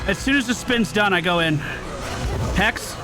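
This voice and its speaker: A man's voice: sits high, expressive, moderate pace. A man streaming gameplay with casual, sweary live commentary, often repeating short phrases. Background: loud game music.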